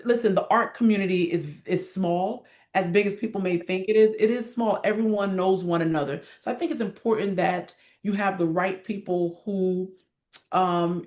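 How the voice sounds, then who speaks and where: A woman's voice: on the low side, fairly even intonation, moderate pace, a little mellow. A woman giving candid, encouraging interview answers in a conversational way, repeating points for emphasis. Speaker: arts guest, director and actress.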